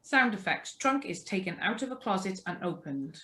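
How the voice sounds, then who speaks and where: A woman's voice: medium pitch, big pitch swings, normal speed, a bit bright. A woman reading out sound and music cues from a script during a video call drama reading. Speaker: stage-direction reader.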